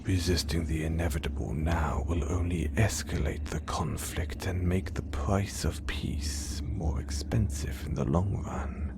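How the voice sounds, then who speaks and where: A man playing a low, whispering supervillain. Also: unhurried, hardly any pauses. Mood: coaxing. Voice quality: whispery, hushed delivery. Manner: smooth, coaxing, quietly threatening. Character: scheming obsessive male supervillain, calm and menacing. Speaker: villain in an audio roleplay. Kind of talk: audio roleplay acting.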